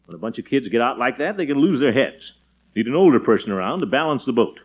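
A man's voice: medium pitch, expressive, quick pace, breathy edge. An actor playing a pompous, scheming middle-aged uncle in a 1940s radio comedy, blustering and chuckling as he wheedles. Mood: self-satisfied concern. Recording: muffled vintage radio recording.

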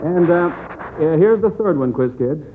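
A man's voice: a little high, lively intonation, a little slow. A man hosting a 1940s radio quiz as substitute quizmaster, chatting with children in a folksy, chummy way. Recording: tinny, noisy old radio broadcast.

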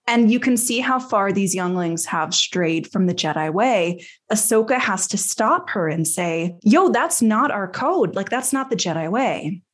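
A woman's voice: mid-range voice, lively intonation, measured pace, hardly any pauses, slightly rough. A woman podcast host recapping an episode in casual chat, with a playful, slangy way of telling a story.

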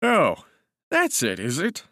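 A man's voice: mid-range voice, big pitch swings, normal speed, no room sound, clean studio sound, close to an everyday voice. A man voicing a boyish, easygoing soldier in a storybook reading, with a kindly, soothing, placating manner. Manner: genial, placating, old-chap familiarity.

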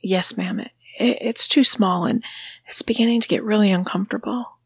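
A frightened, timid young man, as a woman voices him in an audio story, stumbling over his words in a breathy half-whisper. The sound is close and dry. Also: medium pitch, normal speed, dry recording. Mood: nervous. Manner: nervous, stammering, half-whispered.

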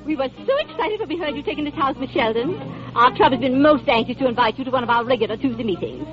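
A woman's voice: sits high, animated, fast, music in the background. An actress playing a snobbish, bossy clubwoman and grandmother with a pompous manner, in 1940s radio style. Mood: gushing, self-important. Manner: gushing, pompous, scolding. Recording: dull old radio recording.

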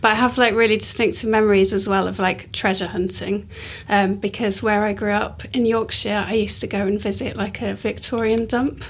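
Husky-voiced woman, 40s, rambling and chatty. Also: medium pitch, fairly even intonation, moderate pace, hardly any pauses. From born in Canada, raised in northern England. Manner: rambling, fills talk with "like". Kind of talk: casual interview chat.

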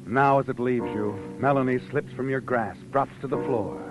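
An actor playing a knowing, insinuating narrator who taunts like a conscience, in dramatic 1940s radio suspense style. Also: male voice, mid-range voice, normal speed, close to an everyday voice. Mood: knowing, ominous. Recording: dull old radio broadcast with music.

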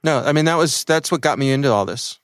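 A man's voice: medium pitch, expressive, fast talker. A middle-aged man with an American accent, a podcast host talking through his own story in a conversational, thoughtful way. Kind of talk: reflective personal storytelling for a podcast.

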